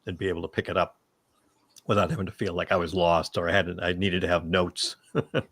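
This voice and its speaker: Low-voiced man hosting a panel. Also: flat delivery, a little fast, a bit bright, breathy edge. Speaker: panel host. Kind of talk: casual panel discussion.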